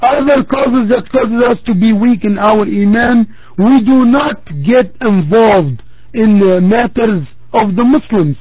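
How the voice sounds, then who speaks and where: A man giving a religious talk to a congregation as preacher, measured and repeating phrases for emphasis. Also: high-pitched, breathy edge, no echo. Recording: tinny phone-like, dry sound.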